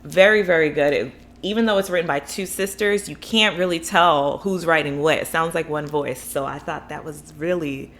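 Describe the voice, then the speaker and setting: Low-voiced chatty woman book vlogger. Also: normal speed, few pauses, fairly bright tone. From Florida, USA. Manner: chatty, rambling, enthusiastic, many fillers. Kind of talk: casual book review vlog.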